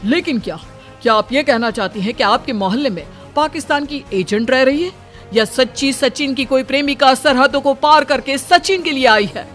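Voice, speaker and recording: A woman playing a sensational, probing female news reporter in an animated story. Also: high voice, normal speed, soft background music.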